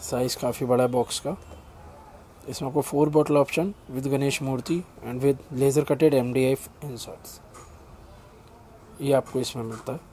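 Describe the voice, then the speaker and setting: Brisk, polite middle-aged salesman. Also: steady tone, noisy background. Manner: brisk, polite sales pitch addressing the customer as sir. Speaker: shop salesman. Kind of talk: product demonstration for a customer. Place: small indoor shop.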